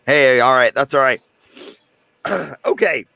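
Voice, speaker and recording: Man, hoarse fading voice, livestream host, casual and chatty, mid-range pitch. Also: slow pace, bright, forceful tone, no echo. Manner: loose and chatty, talking straight to viewers in the chat. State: hoarse, losing his voice. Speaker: host of a live gun channel stream.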